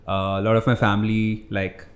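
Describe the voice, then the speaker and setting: Young man, from India, low voice, reflective rambling guest, echoey room. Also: flat delivery, fairly quick. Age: young adult. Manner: reflective, loose storytelling with many fillers. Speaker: startup founder, guest. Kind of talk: casual podcast conversation. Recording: roomy echo.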